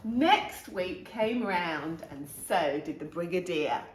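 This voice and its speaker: A woman reading a children's picture book aloud in an animated way, with exclamations and asides to young listeners. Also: on the low side, takes it slowly. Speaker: storytime reader. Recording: roomy, echoing room sound.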